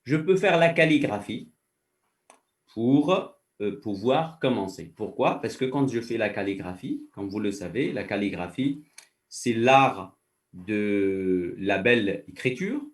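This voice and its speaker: A man's voice: lowish voice, animated, measured pace, far from the mic. Man explaining patiently, off the mic. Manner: patient, explains through first-person examples. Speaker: faculty director, guest. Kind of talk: explanatory interview answers.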